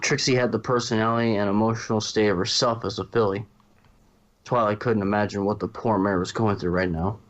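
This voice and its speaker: A man's voice: fairly low pitch, fairly even intonation, a little fast, muffled recording. A man narrating a fan fiction story as a reader.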